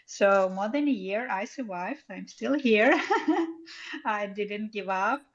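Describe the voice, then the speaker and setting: A woman's voice: medium pitch, slow, dull audio. A woman with a Ukrainian accent chatting casually and cheerfully as a guest in an online audio room. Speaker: guest, digital artist. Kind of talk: casual chat. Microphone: live online audio room.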